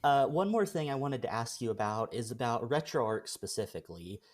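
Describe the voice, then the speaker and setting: A man's voice: mid-range voice, expressive, moderate pace. A man hosting a podcast, asking friendly, thoughtful questions. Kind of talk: casual interview questions.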